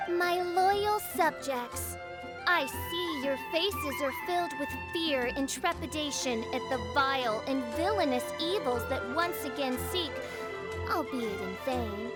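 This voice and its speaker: Self-styled teenage princess of darkness, high voice, pompous archaic grandeur, game cutscene. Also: female voice. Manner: pompous, theatrical, archaic speech. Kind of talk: video game cutscene acting.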